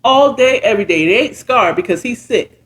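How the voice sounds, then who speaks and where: A man's voice: very high-pitched, lively intonation, moderate pace, a bit bright. An American man retelling a story in a conversational, slangy way, checking in with the listener and slipping into characters' dialogue. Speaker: host retelling and reacting to a story. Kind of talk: informal storytelling recap with commentary.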